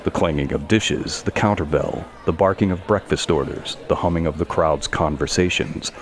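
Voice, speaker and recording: A man's voice: sits low, normal speed. A man narrating a scripted audio story.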